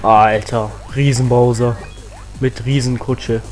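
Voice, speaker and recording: A teenage boy with a cold, chatting excitedly as he plays a platform game on his channel, full of rambling asides. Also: medium pitch, slow, soft background music. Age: teens. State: has a cold. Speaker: let's play host. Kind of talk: casual game commentary.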